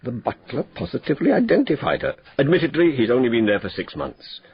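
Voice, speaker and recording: An actor playing a businesslike police inspector in a radio drama, with a matter-of-fact way of briefing. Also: male voice, medium pitch, wildly swooping pitch, fast talker, slightly rough, exaggerated voice. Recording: dull lo-fi radio drama audio.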